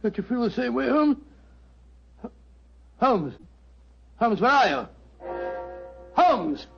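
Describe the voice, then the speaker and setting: An actor playing a bluff, puzzled older English gentleman sidekick in 1940s radio mystery style, blustering, muttering and repeating himself. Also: very high voice, very animated, slow pace, creaky, soft background music, heavily acted. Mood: grumbling, bewildered. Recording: muffled old radio broadcast.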